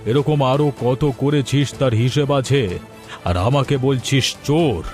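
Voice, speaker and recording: A man's voice: mid-range voice, steady tone, a little slow, close to an everyday voice. A man acts out an angry, righteous villager from a folk-tale cartoon. He speaks in an accusing, scornful manner, with faint music underneath. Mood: scornful anger. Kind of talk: dramatic Bengali folk-tale cartoon dialogue.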